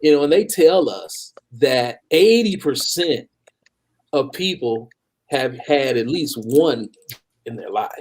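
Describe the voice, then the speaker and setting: A man's voice: medium pitch, expressive, slow pace, darkish tone. An American man in his forties chatting casually as the wine expert guest on a panel show. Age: 40s.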